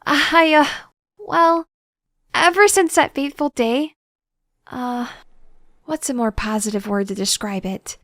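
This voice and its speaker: A woman playing a shy, gentle, bookish young scientist in an audio drama, hesitant and politely awkward in her delivery. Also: high-pitched, measured pace, pauses often, no room sound, studio quality. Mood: awkward, earnest. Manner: hesitant, flustered, polite, wordy. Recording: music under the voice.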